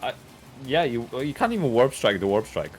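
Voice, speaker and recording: A man's voice: mid-range voice, big pitch swings, moderate pace, faint music behind. A man co-commentating a fighting-game tournament, chatty and rambling and often laughing. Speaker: esports co-commentator.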